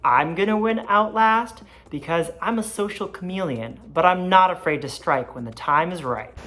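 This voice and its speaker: A man's voice: high-pitched, normal speed, pushed and bright, slight background noise. A man in his thirties from Iowa, giving a polished, self-assured contestant intro. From Iowa, USA. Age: 30s. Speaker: reality game contestant.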